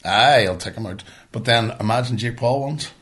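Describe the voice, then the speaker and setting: A man with a Northern Irish accent co-hosting a podcast in casual, sweary banter, blunt and colloquial and quick to argue. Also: medium pitch, a bit bright. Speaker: podcast co-host.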